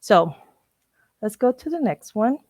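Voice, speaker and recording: A woman from Mexico with a Mexican accent, a native Spanish speaker, giving an informal educational presentation in a halting, explanatory way, searching for words. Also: a little high, very animated, darkish tone, no echo. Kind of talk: informal educational talk with slides.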